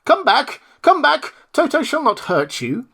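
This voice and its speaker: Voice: mid-range voice, very animated, moderate pace, pitched above the performer's own voice. A storybook reader voicing a kindly, courteous man made of tin, in a raised voice. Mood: gentle. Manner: courteous, earnest.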